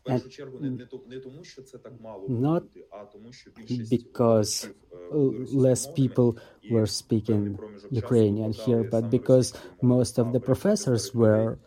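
A man giving interview answers in English translation, speaking in a measured way with restarts and repeated phrases. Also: medium pitch, steady tone, dark tone, poor audio.

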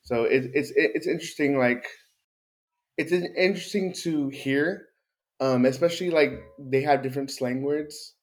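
A man's voice: medium pitch, moderate pace. Rambling man in an echoey room. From San Francisco. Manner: rambling, searching for words, laughs easily. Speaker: guest. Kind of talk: casual group chat. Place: home dining room.

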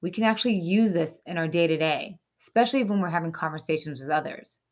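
A woman's voice: on the low side, fast. A woman hosting a segment, reading scripted explainer narration over music. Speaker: host.

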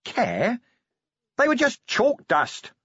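Man acting a blunt, animated police commander. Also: very high voice, slow pace, husky, no room sound, lo-fi sound, pitched above the performer's own voice, full character voice. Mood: indignant. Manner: blunt, challenging, sardonic. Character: gruff, combative middle-aged male police commander. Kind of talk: audiobook character acting.

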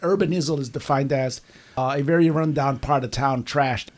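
A man's voice: medium pitch, normal speed. A man co-hosting a casual comedy podcast, joking and laughing often as he chats. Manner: jokey, laughs often, keeps addressing his co-host by name. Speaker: podcast co-host.

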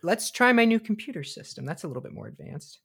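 A man playing an excitable, foul-mouthed bunker leader and tinkerer, co-hosting a comedic radio drama in a casual, hesitant way. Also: sits high, quick pace, creaky, husky, exaggerated voice. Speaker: co-host.

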